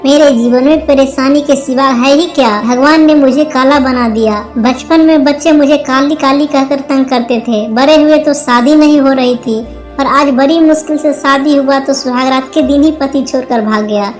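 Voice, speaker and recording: A woman's voice: high voice, moderate pace, soft background music, natural voice. A woman playing an earnest, affectionate and plaintive female bird in a cartoon story. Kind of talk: Hindi cartoon story dialogue.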